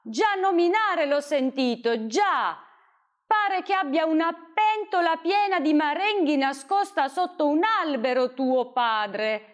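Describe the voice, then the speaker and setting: A woman voicing a greedy, domineering male bandit in a storybook reading, speaking with a raised, forceful voice. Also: high voice, lively intonation, slow pace, pitched above the performer's own voice. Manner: domineering, commanding. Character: gruff menacing male bandit, bossy and greedy.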